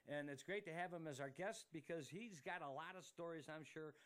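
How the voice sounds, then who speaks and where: A man with a slightly higher-pitched voice hosts a casual talk show. He is chatty and quick to exclaim, often repeating words as he moves through questions and plugs. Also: a bit bright. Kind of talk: casual interview and show hosting with sponsor plugs.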